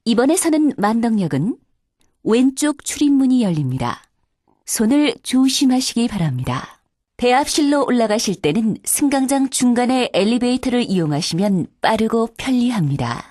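A woman's voice: on the high side, very animated, moderate pace, dark tone, no echo, studio quality. A woman reading formal scripted subway announcements in a polite, carefully enunciated way.

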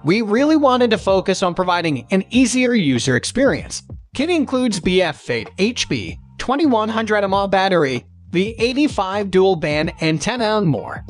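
A man reading a scripted countdown of product reviews as a narrator. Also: high voice.

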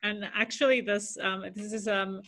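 Woman in her thirties interviewing, mid-pitched voice. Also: steady tone, normal speed, a bit bright. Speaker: talk show host, academic interviewer. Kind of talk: conversational interviewing. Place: indoor home room, video call.

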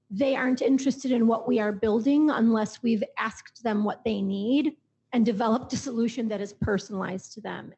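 A woman speaking as a panelist in a discussion, answering in measured, thoughtful sentences from an auditorium stage. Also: a little high, warm tone, breathy edge, dull audio. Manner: measured, thoughtful, builds long lists. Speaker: panelist, association executive.

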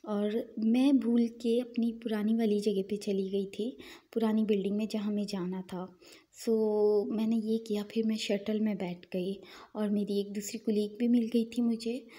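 A young woman narrating her day in a chatty, unhurried voice-over, with small fillers and pious asides. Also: mid-range voice, warm tone. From Pakistan. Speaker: vlogger.

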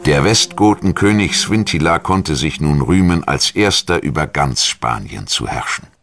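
A man's voice: extremely low pitch, animated, measured pace, a bit bright. A man reading scripted documentary narration as the narrator. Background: background music.

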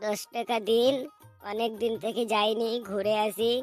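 Whiny male beggar as a cartoon voice, drawn-out pleading, slow, music underneath. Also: medium pitch, little pitch movement, natural voice. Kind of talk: cartoon comedy dubbing.

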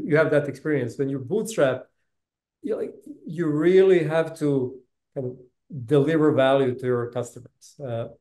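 A man's voice: a little high, warm tone. Balkan-accented man in a roomy space. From Bosnia. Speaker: interview guest, tech business executive. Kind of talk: casual interview talk, storytelling. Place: indoor room, video call. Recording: roomy, reverberant video call audio.

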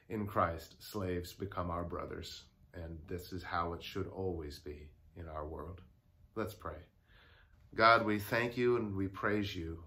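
A man in his sixties, a pastor giving a daily devotional to camera in a study, speaking earnestly with pauses. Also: low voice, a bit bright. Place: home study with bookshelves. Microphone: lapel mic.

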